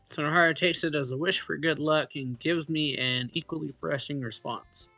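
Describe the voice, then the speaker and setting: Bright-voiced man reading a visual novel aloud. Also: on the high side, steady tone, moderate pace, gravelly, no echo, faint music behind. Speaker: let's play host.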